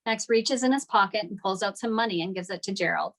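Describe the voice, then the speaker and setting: A woman's voice: mid-range voice, fast, fairly bright tone. A woman narrating the stage directions of a screenplay at a table read.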